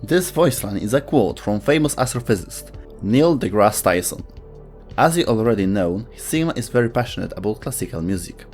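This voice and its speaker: A man's voice: on the low side, animated, brisk, darkish tone. Man narrating a scripted explainer over music. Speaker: video narrator.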